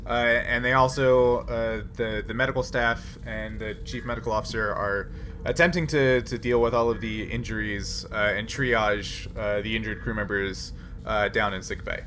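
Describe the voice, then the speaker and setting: A man running a tabletop roleplaying game as game master, improvising his narration haltingly as he thinks aloud. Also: lowish voice, steady tone, a bit bright. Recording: noisy room ambience.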